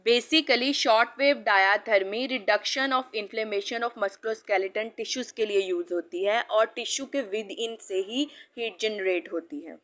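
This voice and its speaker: A young woman, a clinical physiotherapist, giving a steady, explanatory lesson in Hindi mixed with English and listing terms one by one. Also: mid-range voice, takes it slowly, few pauses. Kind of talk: instructional lecture mixing Hindi and English.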